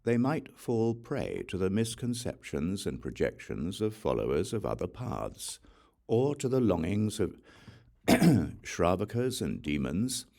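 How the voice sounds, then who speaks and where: A man reading the part of a calm, wise male spiritual teacher who explains a teaching in measured phrases. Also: deep voice, creaky, full character voice.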